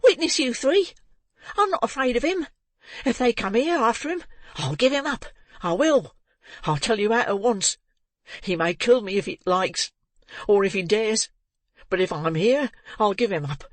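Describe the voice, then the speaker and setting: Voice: medium pitch, animated, normal speed, dry recording, pitched above the performer's own voice, lightly acted. A reader voicing a terrified, defiant young boy who screams for help in a classic audiobook. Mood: frantic defiance. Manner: shrieking, frantic. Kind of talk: audiobook reading of a classic novel.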